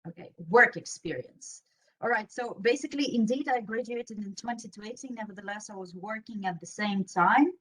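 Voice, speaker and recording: A woman's voice: medium pitch, normal speed, a bit bright, low-quality recording. A young woman from Russia, a native Russian speaker with a Russian accent, giving an informal career presentation on an online panel. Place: online video meeting with screen sharing.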